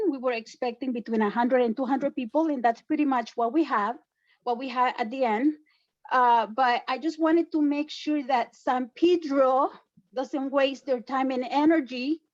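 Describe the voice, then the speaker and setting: High-voiced woman with a Salvadoran accent. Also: highs cut off. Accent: Salvadoran Spanish. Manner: insistent and defensive, repeats points. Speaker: public commenter. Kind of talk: public comment at an online town hall.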